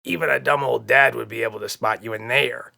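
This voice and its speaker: Man doing a creaky, sarcastic monster. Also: mid-range voice, steady tone, a little fast, pushed and bright. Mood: sneering. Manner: snickering, mumbling, dry. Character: skeptical, sarcastic, flabby monster sidekick. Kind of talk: children's audiobook character.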